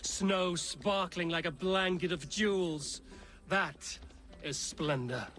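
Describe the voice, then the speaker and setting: An actor playing a wry, protective Norse warrior in a video game, speaking in a dry, direct manner. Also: male voice, sits high, slow, a bit bright. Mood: wry, teasing. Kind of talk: video game character acting.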